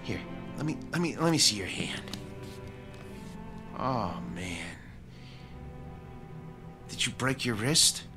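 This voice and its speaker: An actor playing a loyal male boxing handler in an old radio drama, halting and soft-spoken. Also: medium pitch, big pitch swings, over loud music. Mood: worried.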